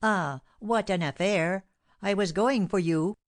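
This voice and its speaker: A woman's voice: mid-range voice, pitched above the performer's own voice, lightly acted. A woman reading aloud voices a sly, boastful male servant who drags out his story. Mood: gossiping. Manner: dramatic, drawn-out storytelling.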